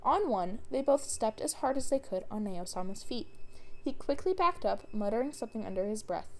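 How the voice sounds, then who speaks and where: A woman narrating a story in an expressive audiobook style. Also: on the high side, moderate pace. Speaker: fan fiction reader.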